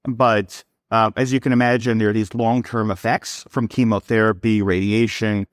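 A man's voice: on the low side, flat delivery, normal speed, dry recording. Dry-voiced man telling his founder story. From born in Serbia, raised in New York. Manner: fluent storytelling with frequent word repeats. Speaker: company founder, guest. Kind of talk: podcast interview answers.

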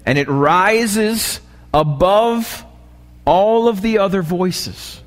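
An American man preaches a sermon live in a church with long reverb. His slightly raspy voice sits a little higher than average, and he takes his time. He often punctuates his points with short questions to the congregation. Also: extremely slow. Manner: asks the congregation frequent short checking questions. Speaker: pastor.

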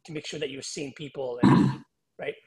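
A man's voice: medium pitch, steady tone, normal speed, crowd noise, poor audio. A man hosting a podcast, asking casual interview questions in a loose, chatty way with fillers. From United States. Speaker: podcast host.

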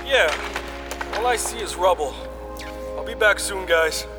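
A man's voice: high voice, expressive, unhurried, over loud music. A man playing a defiant, reckless rebel field agent with an urgent, intense delivery in a sci-fi radio drama. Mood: relieved, cocky.

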